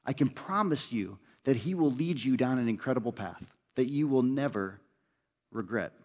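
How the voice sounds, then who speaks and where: An earnest man preaching from the pulpit, leaning on repetition as he urges his listeners on. His voice sits at an ordinary middle pitch, steady and sincere. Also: normal speed. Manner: earnest, urging, repeats phrases for emphasis. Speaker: pastor. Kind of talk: sermon.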